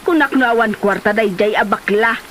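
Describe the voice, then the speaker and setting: A woman's voice: fairly high pitch, fairly quick, a bit bright, close to an everyday voice. An actress playing a chatty, nosy village woman in an Ilocano comedy drama, recorded on noisy location. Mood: gossipy.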